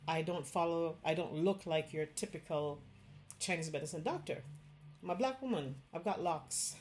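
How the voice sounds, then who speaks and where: Jamaican woman, middle-aged, low and earnest, health practitioner addressing the camera, light noise underneath. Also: normal speed, darkish tone. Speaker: Chinese medicine doctor. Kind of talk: direct-to-camera health talk.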